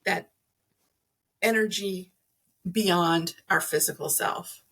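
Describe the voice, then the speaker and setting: Middle-aged woman with a husky, creaky voice. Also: on the low side, slow pace, a bit bright. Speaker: podcast guest, energy healer. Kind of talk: conversational explanation in an interview.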